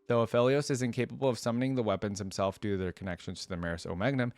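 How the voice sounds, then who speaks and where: Guy w a lowish voice reading stuff out loud real quick, dry close sound, casual reaction vid vibe. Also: fairly even intonation, no room sound. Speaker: reaction video creator. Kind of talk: reading lore aloud with casual reaction asides.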